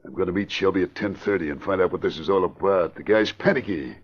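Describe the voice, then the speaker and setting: A cold gang boss who gives curt, commanding orders. His voice is very deep. The old radio broadcast sound is dull and lo-fi. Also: quick pace. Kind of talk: 1940s radio acting.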